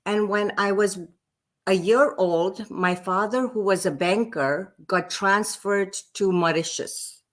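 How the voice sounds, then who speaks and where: A woman in her fifties from Pakistan, a French teacher telling her life story in an interview, with frequent restarts. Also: medium pitch. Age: 50s. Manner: slow, reflective storytelling with repeated restarts. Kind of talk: casual interview talk.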